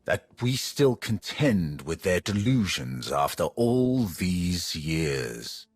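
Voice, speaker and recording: A man's voice: low-pitched, big pitch swings, takes it slowly, slightly rough, no room sound, full character voice. A male actor playing a grim, ancient, contemptuous Sith warrior in a video game, speaking formally and with menace. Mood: scornful. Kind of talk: dramatic video game voice acting.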